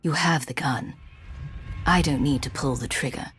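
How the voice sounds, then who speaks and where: An actress playing a composed, calculating secret agent and commander in a video game, with a husky voice and measured, restrained delivery. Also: female voice, sits low, slight background noise, close to an everyday voice. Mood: guarded, cool. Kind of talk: video game cutscene acting.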